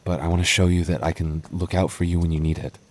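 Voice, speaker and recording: A man's voice: sits low, little pitch movement, fast, slight background noise, lightly acted. A young man voicing a nervous, tender goth boyfriend in an ASMR roleplay, stammering and repeating words. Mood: vulnerable. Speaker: voice actor.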